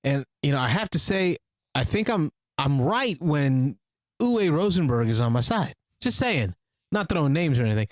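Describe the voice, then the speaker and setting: A man's voice: on the high side, sing-song, moderate pace, no room sound. Playful non-native English-speaking man. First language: not English. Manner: playful, teasing, speaks in short bursts. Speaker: podcast co-host. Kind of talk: casual discussion.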